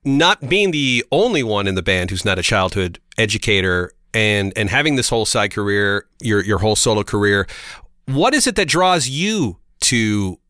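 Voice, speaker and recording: A man hosting an interview, friendly, pausing and restarting his questions. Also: on the low side, very animated, sharp tone, dry recording, clean studio sound. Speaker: interview host. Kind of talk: casual interview.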